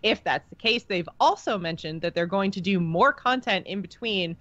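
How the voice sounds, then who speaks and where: A woman's voice: medium pitch, lively intonation, moderate pace, a bit bright, no room sound, highs cut off. A woman co-hosting a casual gaming podcast, talking in a chatty way and explaining her points at length. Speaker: podcast co-host.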